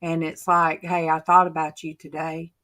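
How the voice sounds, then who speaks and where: A woman, hosting a casual faith chat and telling stories in a chatty, drawn-out way. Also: sits low, flat delivery, fairly bright tone. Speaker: channel host.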